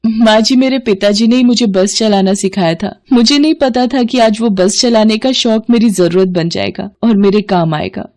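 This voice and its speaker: A woman voicing a gentle, dutiful young wife in a storybook drama, speaking politely and earnestly. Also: medium pitch, normal speed, hardly any pauses, lightly acted.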